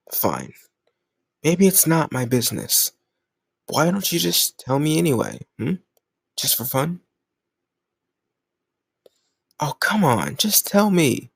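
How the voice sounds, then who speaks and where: Man playing a cocky, gravelly flirt. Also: mid-range voice, no echo, clean studio sound, full character voice. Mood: needling, insistent. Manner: teasing, cajoling, smug, drawn-out words. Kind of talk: intimate romantic audio roleplay.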